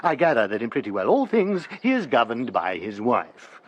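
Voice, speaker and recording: An actor playing a brisk, imperious Victorian archdeacon with an English accent, pompous and formal, in a radio drama. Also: male voice, mid-range voice, wildly swooping pitch, muffled recording. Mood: wry, scornful. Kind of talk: literary radio drama acting.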